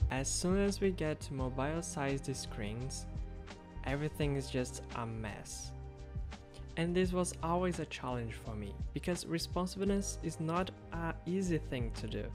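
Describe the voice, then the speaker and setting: Casual young man narrating over soft music. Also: a little high. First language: Portuguese. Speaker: web developer vlogger. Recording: soft background music under voice.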